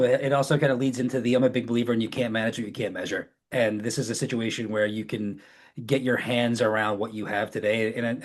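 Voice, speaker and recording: A man presenting in a business webinar in a conversational product-pitch style. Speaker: webinar presenter, company founder.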